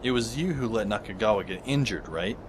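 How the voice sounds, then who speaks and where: An actor playing a dry, sardonic teenage schoolboy who narrates an audio drama in the first person. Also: male voice, on the low side, animated, brisk, noisy background, natural voice. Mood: reflective. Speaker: narrator and lead character. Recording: music under the voice.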